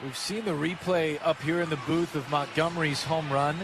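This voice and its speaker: Higher-voiced male baseball color commentator. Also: fairly even intonation, moderate pace. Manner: conversational, colloquial, slangy. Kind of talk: live radio game analysis. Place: stadium broadcast booth. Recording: noisy stadium broadcast with crowd. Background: crowd and ballpark noise.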